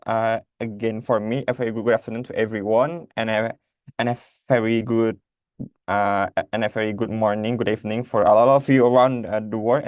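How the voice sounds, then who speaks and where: Indonesian guy moderating a zoom conference, lots of uhs and restarts, smooth clear voice. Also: mid-range voice, a little mellow, dry recording. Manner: halting, many fillers and restarts. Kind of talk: hesitant conference moderation over video call. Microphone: video call.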